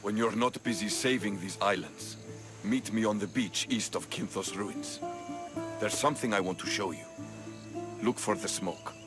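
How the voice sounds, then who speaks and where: An actor playing a proud, bold Spartan soldier eager for battle in a video game. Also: male voice, fairly low pitch, moderate pace, music in the background, natural voice. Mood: warm. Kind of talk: video game cutscene acting.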